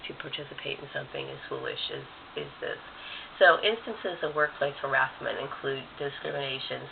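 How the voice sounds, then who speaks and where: Man, has a cold, nasal, very gravelly and scratchy, rambling vlog talk, tinny phone-like sound, steady hiss. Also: medium pitch. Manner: rambling, many "um"s. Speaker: vlogger. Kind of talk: casual talking-head vlog.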